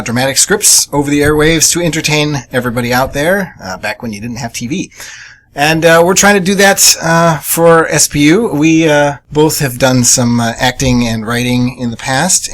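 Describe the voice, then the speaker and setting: Chatty male radio co-host, medium pitch. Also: fairly bright tone. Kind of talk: casual radio announcement.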